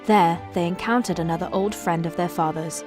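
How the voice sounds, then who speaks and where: A woman's voice: on the low side, fairly even intonation, a little fast, lightly acted. A woman reading composed, scripted narration for a fantasy audio drama. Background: music.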